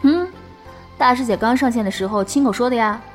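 An actress playing a lively, gossipy young woman in an online game drama, exclamatory and teasing. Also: a little high, a little fast, close to an everyday voice. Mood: teasing warning. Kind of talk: modern audio drama acting. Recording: music behind the voice.

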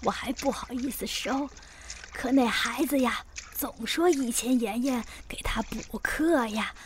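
A woman's voice: on the high side, unhurried, a little hiss or hum, natural voice. An actress playing a kindly, chatty elderly grandmother in a radio drama, homely and rambling. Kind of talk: Chinese radio drama acting.

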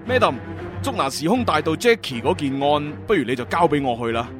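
A man playing a cocky, eager young time-travel agent with animated, exclamatory delivery in a radio drama. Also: fairly high pitch, brisk. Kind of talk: Cantonese sci-fi radio drama dialogue. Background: music.

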